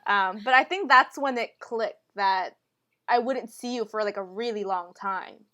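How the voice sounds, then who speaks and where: A woman co-hosting a casual podcast chat, talking in a relaxed way with short reactions and questions. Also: mid-range voice, unhurried. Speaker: podcast co-host.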